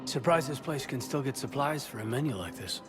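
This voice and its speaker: A man playing an earnest, sincere soldier in a video game, speaking in a soft, hesitant way. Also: mid-range voice, animated, brisk, close to an everyday voice. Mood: relaxed, reflective. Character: earnest male soldier, adult, sincere, restless, quietly yearning. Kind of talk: naturalistic video game voice acting. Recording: music behind the voice.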